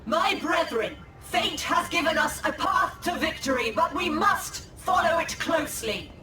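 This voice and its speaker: A woman's voice: very high voice, lively intonation, a little slow. A woman voicing a proud, commanding elven alien seeress and war leader in a video game, with solemn, formal delivery. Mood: rousing, resolute. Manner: imperious, solemn, formal declamation. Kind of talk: dramatic video game acting. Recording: echoing, distant, under loud music. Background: loud game music.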